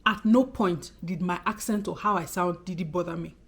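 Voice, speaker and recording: Expressive Nigerian woman vlogger. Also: on the low side. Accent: Nigerian, Benin City undertone. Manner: expressive, talks fast. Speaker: YouTuber. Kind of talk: casual talking-to-camera vlog.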